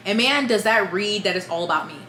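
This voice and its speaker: A woman who hosts a book channel, reading a written statement aloud in a brisk, steady delivery. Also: medium pitch, roomy sound.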